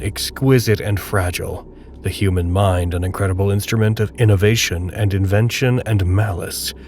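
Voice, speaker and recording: A man narrating a dark audio drama in a measured, literary, grave and detached manner. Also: fairly low pitch, a little hiss or hum. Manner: measured, literary, solemn storytelling.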